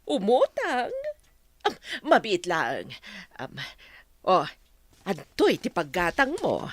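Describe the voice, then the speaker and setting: An actress playing a brisk woman who offers delivery work, in a very lively Ilocano audio drama voice. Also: lowish voice, heavily acted. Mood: persuading. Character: brisk woman recruiting a courier. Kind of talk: Ilocano radio drama acting.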